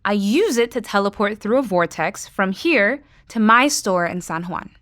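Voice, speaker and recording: A woman's voice: mid-range voice, expressive, normal speed, fairly bright tone, natural voice. An actress playing an adult woman who runs a comic store and guides younger friends, in an audio drama. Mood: explaining. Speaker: store owner.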